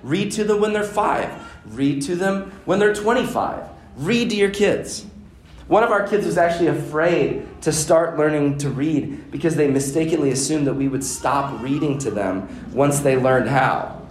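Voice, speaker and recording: A man's voice: on the high side, moderate pace, a little hiss or hum. Middle-aged man, seminar speaker, earnest and emphatic, roomy echo. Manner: earnest, building to emphatic repetition. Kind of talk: workshop talk to parents. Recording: echoey room.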